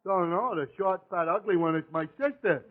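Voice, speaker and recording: A man playing a slow-witted, stammering tavern regular in 1940s radio comedy. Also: high voice, close to an everyday voice. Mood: dopey, earnest. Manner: halting, dim, stammering. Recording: muffled, narrow-band vintage radio recording.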